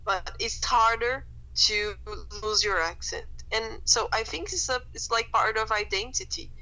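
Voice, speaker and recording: A woman's voice: on the high side, unhurried, bright, forceful tone, poor audio. A young woman, a guest chatting thoughtfully on a podcast with many fillers. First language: Portuguese. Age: young adult. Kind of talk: casual chat.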